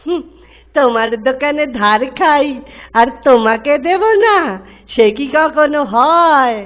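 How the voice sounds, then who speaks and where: A woman's voice: sits high, slow, lo-fi sound. A woman voicing a witty, sly male village trickster in a cartoon comedy, with a cheeky manner. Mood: agreeable. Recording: background music under the voice.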